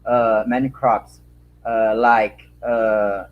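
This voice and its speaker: A man with a Brazilian Portuguese accent speaks slowly and haltingly in English, his second language, filling pauses and repeating words as he looks for the right phrasing. His voice sits at a middle pitch and comes through a slightly dull, muffled video-call line. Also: flat delivery. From Acre, Brazil. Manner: halting, many fillers and repeated words, searching for words. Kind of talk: panel answer in non-native English.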